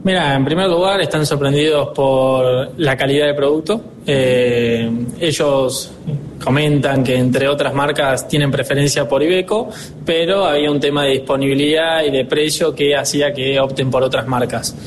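A man in his twenties with an Argentine accent, answering interview questions as a dealership director, earnest and upbeat, often pausing on fillers. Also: medium pitch, fairly even intonation, a little hiss or hum, low-quality recording. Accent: Argentine Spanish. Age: 20s.

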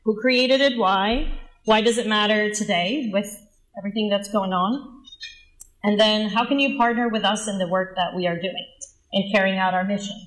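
A woman's voice: mid-range voice, moderate pace, a bit bright. A woman in her forties from the Dominican Republic, giving an earnest, personal presentation as a nonprofit leader. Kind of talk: presentation talk. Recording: echoey room.

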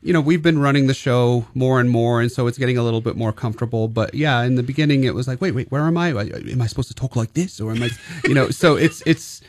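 A man's voice: mid-range voice, fast talker, few pauses. A male stage actor being interviewed, hesitant, with many restarts. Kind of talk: casual interview.

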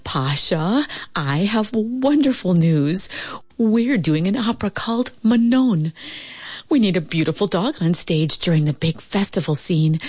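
A woman voicing an excitable, doting female dog owner in a storybook. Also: mid-range voice, dry recording, voice pushed up.

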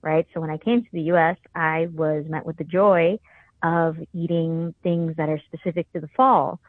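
A woman's voice: low voice, steady tone, normal speed, no room sound. A native Spanish-speaking woman from Venezuela chatting casually as a caller to a show. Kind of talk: casual chat. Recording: dull lo-fi call audio.

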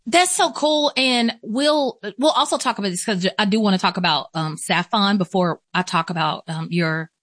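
A woman's voice: medium pitch, normal speed, hardly any pauses, fairly bright tone, dry recording, clean studio sound. A woman with an American accent hosting a conversational radio interview, effusive and chatty with informal asides. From Atlanta, Georgia, US. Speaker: interview show host.

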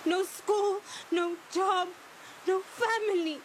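A woman's voice: extremely high pitch, slow pace, a little hiss or hum. A young actress playing a worn-down, grieving mother who pleads and stands her ground. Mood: defiant. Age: young adult. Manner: pleading, then resolute.

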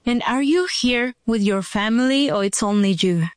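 A woman's voice: on the high side, normal speed, dry recording, studio quality. A woman co-hosting and interviewing on a student podcast, enthusiastic, with short questions. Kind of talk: scripted presenting and interviewing. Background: music.

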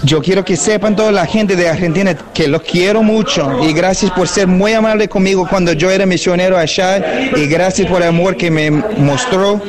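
A man with an American accent, a former missionary, speaking halting learner's Spanish with earnest warmth as a guest. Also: high-pitched, a crowd in the background. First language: English. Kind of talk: live call-in chat.

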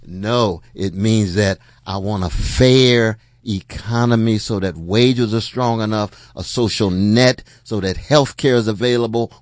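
A man's voice: fairly low pitch, a little slow, few pauses, no echo, clean studio sound. A man speaking as a guest political commentator, emphatic and repetitive in his points. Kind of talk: political debate talk.

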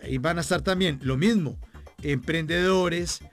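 A man with a fairly high voice and a Bogotá accent hosts a chatty broadcast interview. He is jovial and colloquial, often repeating a phrase to stress it. Some music plays along with his voice. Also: normal speed. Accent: Bogotá Colombian. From Bogotá, Colombia. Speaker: radio show host interviewing a guest.

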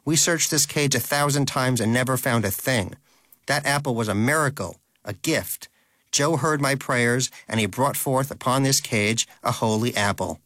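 A man's voice: medium pitch, moderate pace, a bit bright, no echo, pitched above the performer's own voice, natural voice. A man voicing a devout, earnest male pet hamster in a storybook reading, pleading and bitter.

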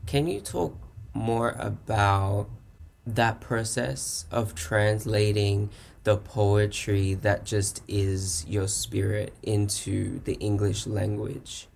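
A man hosting an interview, reading an introduction and asking questions in a slow, measured way. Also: fairly low pitch, steady tone, vocal fry, a little mellow, slight background noise. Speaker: podcast host.

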